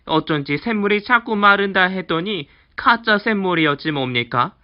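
A man's voice: high voice, expressive, normal speed, highs cut off, pitched above the performer's own voice. A man voicing a greedy, scheming old village man who pleads and wheedles with an official in a storybook reading. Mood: wheedling.

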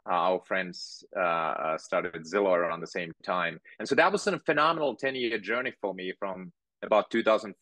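Man, bright dry voice. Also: sits low, dry recording. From Finland. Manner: thoughtful, measured storytelling. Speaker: guest entrepreneur. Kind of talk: interview conversation. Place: home room with bookshelves. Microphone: video call.

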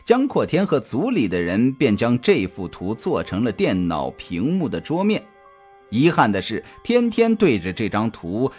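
A man narrates a suspense novel with deliberate, dramatic expression. His voice is husky and sweeps widely in pitch. Soft music runs behind the storytelling. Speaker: audiobook narrator. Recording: music under the voice. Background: background music.

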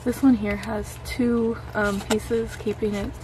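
An American woman giving casual, unscripted unboxing commentary in a low-key, unhurried way. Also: flat delivery. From United States. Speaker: host of a K-pop merchandise unboxing channel. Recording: clearly noisy background hum.

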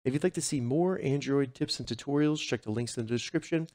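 A man hosting a tech tutorial, giving clear step-by-step instructions in scripted narration. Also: mid-range voice, fast, a little mellow, no room sound. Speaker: host of tech tutorial channel.

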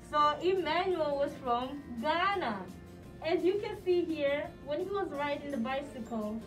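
A young woman in a small classroom, giving children clear step-by-step craft directions. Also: sits high. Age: young adult. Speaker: student teacher leading a craft activity. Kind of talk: instructing children. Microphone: far camera. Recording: distant mic with music behind.

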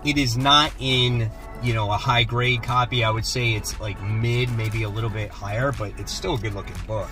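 A man's voice: medium pitch, normal speed, hardly any pauses, over music. Chatty man talking in a parked car. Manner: relaxed, chatty, enthusiastic about his finds. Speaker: comic book channel host. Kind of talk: casual vlog chat. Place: parked car, then outdoors and inside a shop. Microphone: phone camera at arm's length.

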